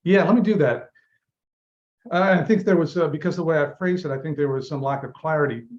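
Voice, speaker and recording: A man's voice: a little high, a little fast. Earnest man on dull remote audio. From Lebanon. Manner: earnest, emphatic, explaining at length. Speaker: campaign manager. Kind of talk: interview guest.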